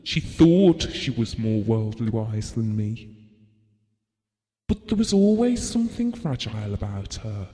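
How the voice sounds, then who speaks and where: An actor playing a gentlemanly, possessive husband who looks back wistfully in an audio drama, measured and reflective. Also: male voice, lowish voice, sing-song. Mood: tender, possessive. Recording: long reverberant hall sound.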